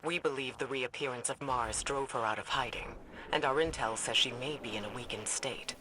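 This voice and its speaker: A man's voice: medium pitch, brisk, noisy background, lightly acted. A man playing a stern military commander in a video game, giving an authoritative, clipped mission briefing. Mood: stern, urgent.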